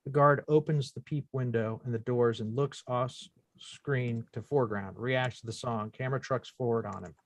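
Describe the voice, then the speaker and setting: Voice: mid-range voice, steady tone, moderate pace, few pauses, no room sound. A narrator reading out screenplay stage directions as scripted narration at a table read.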